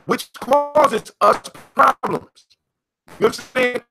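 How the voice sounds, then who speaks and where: Talk host, American, creaky delivery, emphatic and repetitive, teaching conversationally over music. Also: sits high, animated, slow pace. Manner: emphatic, repeats phrases, checks in with "you know what I'm saying". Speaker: host of a call-in talk on folktales.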